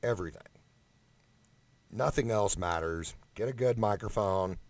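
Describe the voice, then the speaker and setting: Man, lowish pitch, heavy vocal fry, blunt emphatic delivery, sweary casual vlog rant, close condenser mic. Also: unhurried, no echo. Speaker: amateur singer giving audition advice. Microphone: condenser microphone up close, no preamp.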